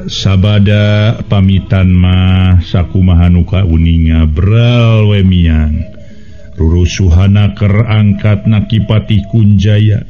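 A man's voice: lowish voice, dark tone. A man telling a story as a radio storyteller, narrating in a dramatic style. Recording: dull lo-fi radio recording with music.

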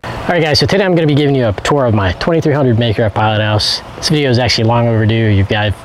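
A man's voice: mid-range voice, quick pace, faint music behind, poor audio. Easygoing man giving an outdoor walkthrough. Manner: relaxed, talks to viewers with fillers while pointing things out. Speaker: channel host. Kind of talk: casual walkthrough vlog. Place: outdoors in a driveway beside a boat on a trailer. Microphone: handheld camera carried around, earlier on a tripod.

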